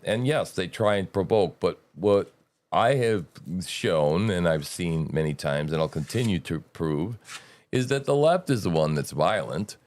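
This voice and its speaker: Deep-voiced man rambling casually. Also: darkish tone. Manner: rambling, restarts, folksy slang. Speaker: host. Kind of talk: casual political talk.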